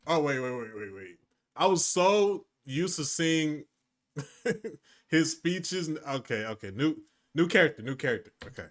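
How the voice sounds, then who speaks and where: A man hosting a reaction video, giving casual, slangy, profane commentary in an animated way. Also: a little high, a little slow.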